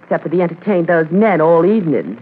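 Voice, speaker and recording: A woman's voice: fairly low pitch, expressive, fast, husky. An actress playing an eager, gossipy, scatterbrained woman witness in a 1950s radio drama, rambling and correcting herself. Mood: chatty, confiding. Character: chatty, eager, muddle-headed woman witness running tourist cabins. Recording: tinny, noisy 1950s radio transcription.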